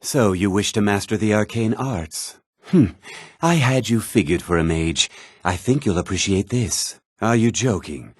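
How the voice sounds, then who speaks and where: A man's voice: lowish voice, lively intonation, unhurried, slightly rough, dry recording, studio quality. A man voicing a haughty, irritable townsman in a fantasy video game, with a curt, condescending, sneering manner. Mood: dismissive, curt.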